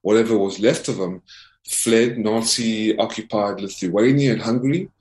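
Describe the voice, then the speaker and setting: A man's voice: lowish voice, unhurried, darkish tone. A man from South Africa speaking as an investigative reporter and interview guest, earnestly presenting in a formal, emphatic way and often repeating himself. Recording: roomy, echoing voice.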